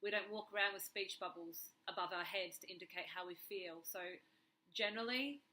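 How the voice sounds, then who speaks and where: A woman vlogger with a slightly croaky, under-the-weather voice talks earnestly and emphatically to camera, repeating key words for stress. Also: on the low side, bright, forceful tone, a little hiss or hum, poor audio. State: slightly unwell, a bit croaky. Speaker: YouTube vlogger. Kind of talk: earnest personal talk to camera. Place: carpeted room at home, indoors.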